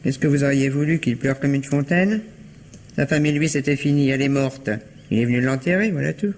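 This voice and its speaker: A woman's voice: a bass voice, brisk, darkish tone, slight background noise. A woman acting as a curt, grudging housekeeper in a French radio mystery, brusque and colloquial. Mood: irritated, curt. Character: gruff, curt housekeeper, grudging and plain-spoken.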